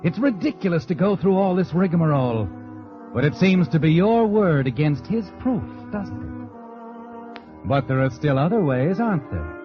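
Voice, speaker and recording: An actor playing a sinister, all-knowing American storyteller, narrating a 1940s radio mystery in a dramatic, insinuating way. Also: male voice, high-pitched, very animated, normal speed. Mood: ominous, insinuating. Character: sinister, taunting all-knowing male storyteller addressing the heroine. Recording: muffled vintage radio recording. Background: dramatic music.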